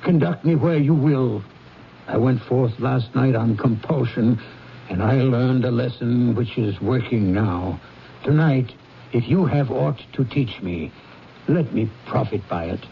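Old penny-pinching miser, gravelly creak, theatrical formal delivery, radio play, muffled hissy recording. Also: male voice, mid-range voice, a little slow. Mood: humbled, earnest. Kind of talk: 1970s radio drama acting. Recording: dull, noisy 1970s radio recording.

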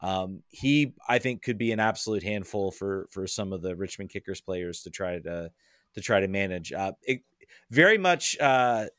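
Low-voiced man analyzing soccer, halting. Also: animated, a bit bright, no echo, clean studio sound. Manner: halting, many ums and restarts. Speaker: podcast guest.

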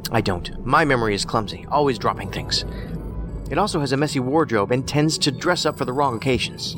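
An actor playing an eccentric, analytical federal investigator in an audio drama, wordy and dryly whimsical. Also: male voice, medium pitch, lively intonation, a little fast, over music, lightly acted. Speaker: federal agent, profiler.

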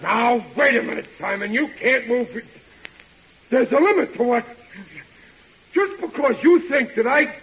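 An actor playing a flustered, put-upon husband in a 1940s radio comedy, blustering and hesitating in an aggrieved way. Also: male voice, extremely high pitch, measured pace, pauses often, fairly bright tone, husky, full character voice. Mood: exasperated. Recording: dull old radio recording.

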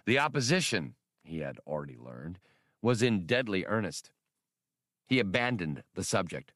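Low, dry-recorded male audiobook narrator. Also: big pitch swings, moderate pace, a bit bright, no echo. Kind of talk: scripted narration of an adventure novel.

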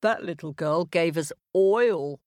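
A woman's voice: lowish voice, takes it slowly, a little mellow, no echo, clean studio sound. A woman voicing a neglected, grumbling door that talks reproachfully in a storybook.

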